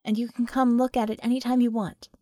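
A woman's voice: a little high, little pitch movement, fast talker, dark tone, no room sound, studio quality, close to an everyday voice. A woman narrator voicing a frank, savvy female mage in an audiobook, matter-of-fact in manner. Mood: businesslike.

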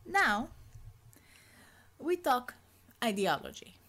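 A woman's voice: on the high side, very animated, extremely slow, bright, forceful tone, voice pushed up, exaggerated voice. A woman voicing a stern, imperious Russian woman commander in an audiobook story, with a Russian accent and clipped, formal speech. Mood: brisk, demanding.

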